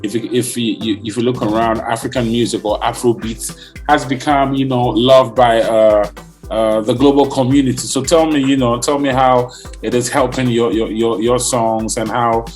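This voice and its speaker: A male podcast host in casual conversation, steady mid-range pitch. He hesitates often, restarting his sentences and filling gaps with "uh". Faint music plays underneath. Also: moderate pace. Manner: hesitant, many fillers and restarts. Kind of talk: casual interview.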